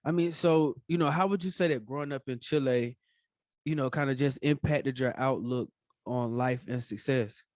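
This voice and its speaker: Casual podcast host, dry sound. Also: male voice, mid-range voice, normal speed, darkish tone, no room sound. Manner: casual, filler-heavy, affirming.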